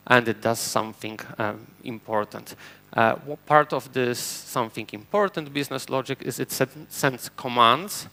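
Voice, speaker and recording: A man's voice: medium pitch, gravelly, fairly bright tone. A man from Poland with a Polish accent, whose first language is Polish, giving a technical conference talk from a stage and explaining step by step with fillers and restarts. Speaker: conference speaker. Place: conference stage before an audience.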